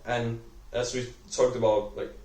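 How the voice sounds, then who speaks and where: A man's voice: mid-range voice, level tone, a little slow, darkish tone, distant mic. Young Danish man rambling to camera. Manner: rambling, heavy use of "like", self-correcting. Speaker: vlogger. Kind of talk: unscripted talk to camera. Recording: echoey room, voice off-mic.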